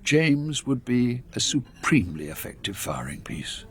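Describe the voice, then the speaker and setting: An actor playing an authoritative older British spy chief, brisk and ruthless, with clipped, formal delivery in a radio drama. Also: male voice, medium pitch, very animated, fairly bright tone, husky, heavily acted. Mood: grave, resolute.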